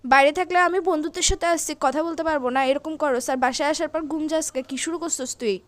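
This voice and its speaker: A high-voiced young girlfriend acted by a woman with a Bangladeshi accent grills her partner in a comedy phone call. She talks quickly, scolds and keeps pressing the same questions with angry suspicion. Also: fairly even intonation, no echo, lightly acted. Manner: scolding, interrogating, repeats questions insistently.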